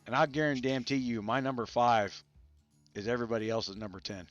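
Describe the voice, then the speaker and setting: A man with a gravelly, irritated voice, hosting a rambling casual chat about a strategy game and stopping now and then to cough. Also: medium pitch, fairly even intonation, a little fast, dry recording, dull audio, faint music behind. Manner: rambling, many restarts and fillers. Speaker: gaming channel host. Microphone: studio mics set up to spare his voice.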